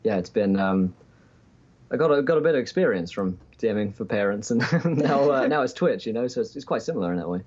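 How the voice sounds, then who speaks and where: A man's voice: on the low side, lively intonation, fairly quick, a little mellow. Young man on a dull-sounding remote call. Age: early 20s. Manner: wry, self-deprecating. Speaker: podcast guest, game master. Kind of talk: casual chat.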